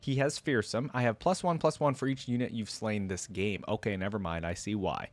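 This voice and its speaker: A man hosting a reaction video, giving informal, excitable commentary and thinking aloud as he goes. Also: on the low side, brisk, no room sound. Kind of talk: casual reaction commentary with some reading aloud.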